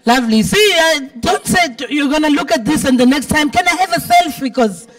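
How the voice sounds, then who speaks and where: A woman's voice: high voice, lively intonation, brisk, distant mic. South african lady mc at a live event, big voice, teasing and chatty, sounds a bit away from the mic. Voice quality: big, heavy-timbred voice. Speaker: event host. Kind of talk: informal live event hosting with joking banter. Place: event venue with a dinner audience.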